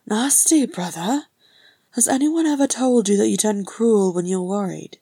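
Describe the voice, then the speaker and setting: A woman voicing a lively, teasing younger dwarf brother, chattering and exclamatory, in an audiobook-style story. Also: medium pitch, very slow, dry recording, clean studio sound. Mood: cheeky.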